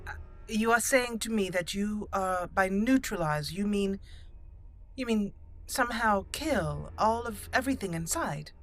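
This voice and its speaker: A woman improvising in character as she plays a fantasy game, speaking haltingly and thoughtfully in formal phrasing. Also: medium pitch, lively intonation, a bit bright. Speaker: gaming channel host, roleplaying player. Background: game music.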